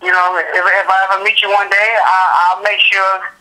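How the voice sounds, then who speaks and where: A young man with a high, very bright voice talks bluntly about fighting, circling back with plenty of "you know"s. He comes through a phone line. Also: flat delivery, normal speed. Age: 20s. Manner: blunt, repetitive, many fillers. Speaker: boxer guest. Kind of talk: phone interview.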